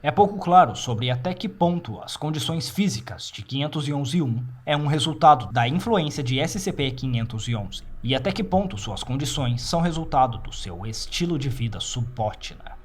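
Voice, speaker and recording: Brazilian man reading formally, medium pitch. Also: animated, normal speed, hardly any pauses. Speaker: narrator of horror fiction files. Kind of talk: scripted narration of clinical report.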